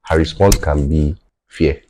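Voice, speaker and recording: A Nigerian man whose first language is Yoruba, a podcast guest, talking in a measured, thoughtful way and often checking in with "right?". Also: a bass voice, dark tone, a little hiss or hum. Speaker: podcast guest, tech founder. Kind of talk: reflective conversational interview.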